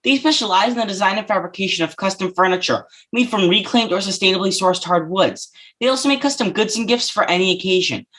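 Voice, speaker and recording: A man hosting an interview podcast, asking prepared questions and reading a sponsor spot in an even, measured way. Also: high-pitched. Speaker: podcast host.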